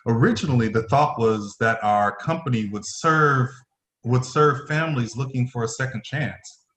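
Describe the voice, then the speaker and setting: A man playing a stern, formal middle-aged company executive in a play reading, halting and stumbling over his phrases. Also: mid-range voice, a bit bright, natural voice. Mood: formal, guarded. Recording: roomy echoing voice.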